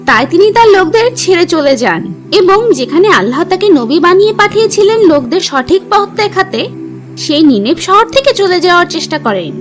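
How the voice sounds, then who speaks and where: A woman's voice: very high-pitched, very animated, normal speed, faint music behind. A woman voicing a teacher who tells religious stories to children in a scripted cartoon narration. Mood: instructive. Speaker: storyteller teacher.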